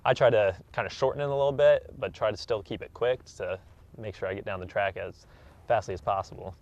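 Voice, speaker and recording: Male athlete, 20s, low register, matter-of-fact interview answers, slightly muffled audio. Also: fairly quick, a little mellow. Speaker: elite track athlete being interviewed. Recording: dull, muffled lo-fi recording.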